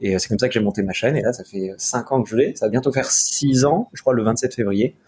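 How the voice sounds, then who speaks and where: A man's voice: mid-range voice, fast talker, a little mellow, breathy edge. A young man from Marseille talking casually as an interview guest about his video work, rambling with many fillers and restarts. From France, Marseille.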